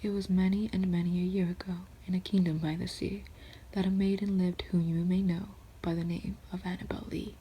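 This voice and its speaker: A woman reading classic poems aloud in a soft, steady whisper, with rhythmic recitation. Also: on the low side, brisk, husky, low-quality recording.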